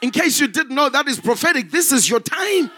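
A man from Zimbabwe, a pastor preaching a fervent sermon in a church, building up to shouts. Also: very high voice, very animated, a little fast, some chatter behind.

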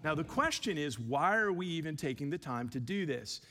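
A man who is a pastor, giving an evening church teaching with a relaxed, self-deprecating manner, many fillers. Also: on the high side, normal speed, a little hiss or hum. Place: church sanctuary. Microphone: handheld microphone.

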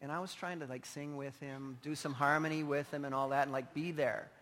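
A man's voice: a little high, steady tone, brisk, fairly bright tone. A man preaching a sermon as a pastor, emphatic yet informal and conversational, his voice slightly strained. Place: large indoor church hall. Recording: echoey large hall, long reverb.

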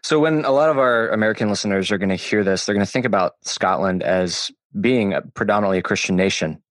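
A man hosting a podcast interview, asking measured, conversational questions. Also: lowish voice, no room sound. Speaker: podcast host.

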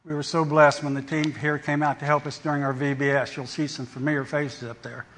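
A man, a missionary, giving an earnest, steady thank-you message to a supporting church. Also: on the high side, brisk.

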